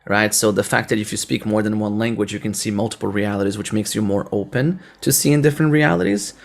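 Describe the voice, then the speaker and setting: A man's voice: lowish voice, fast talker, gravelly. A man from Brazil, a native Portuguese speaker with a Brazilian accent, hosting a conversational interview. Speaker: podcast host.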